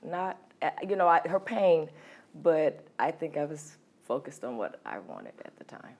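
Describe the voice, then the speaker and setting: A middle-aged woman from California telling her life story as an interview guest, hesitant with many ums and soft laughs. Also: low voice, wildly swooping pitch, measured pace, gravelly. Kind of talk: candid personal storytelling.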